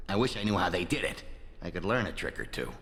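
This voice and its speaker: Pompous, swaggering American politician, wildly animated. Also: male voice, deep voice, fast, full character voice. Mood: exasperated, ranting. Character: brash, vain, foul-mouthed corrupt American politician. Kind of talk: video game character acting. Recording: long reverb.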